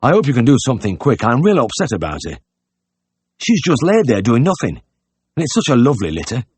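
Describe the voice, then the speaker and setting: A man's voice: medium pitch, huge pitch swings, brisk, darkish tone, breathy edge, dry recording, voice pushed up. A man voicing an anxious, pig-obsessed older country innkeeper in an audiobook, earnest and plain-spoken with rustic phrasing. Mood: worried, pleading.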